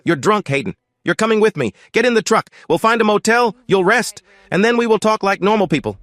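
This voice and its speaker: Guy playing a big desperate dad barking orders, pitched up, lively, dry. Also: brisk, no room sound. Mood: commanding. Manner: loud, pleading, bossy. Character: big middle-aged father, desperate and commanding.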